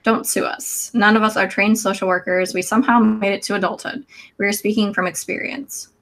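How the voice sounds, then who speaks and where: A woman's voice: medium pitch, steady tone, a little fast, a bit bright, low-quality recording. A woman in her mid-twenties co-hosting a casual live stream in an easygoing way, with ums between thoughts. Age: 20s. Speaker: co-host and adult advisor.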